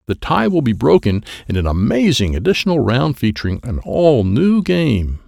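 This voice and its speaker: A man's voice: mid-range voice, wildly swooping pitch, moderate pace, creaky, a little mellow, no echo, clean studio sound, full character voice. A man voicing a brash, slick alien game-show host, announcing grandly in an audiobook. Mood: showy excitement. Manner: grandiose, exclamatory.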